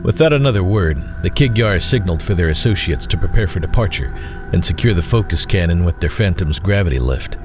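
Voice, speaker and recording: Low-voiced man narrating a dramatic story. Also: lively intonation, brisk. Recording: faint music bed behind voice.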